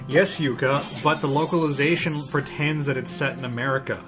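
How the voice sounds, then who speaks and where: A man's voice: medium pitch, fairly even intonation, fairly quick, music in the background. A young man with a Californian accent co-hosting a live stream, talking in a rambling, opinionated way with casual swearing. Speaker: streamer co-host.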